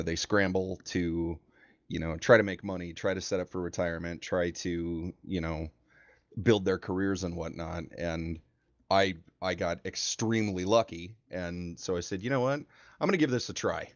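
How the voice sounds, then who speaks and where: Low-voiced man on a dull line. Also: no echo. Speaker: podcast guest, streamer. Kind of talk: casual chat.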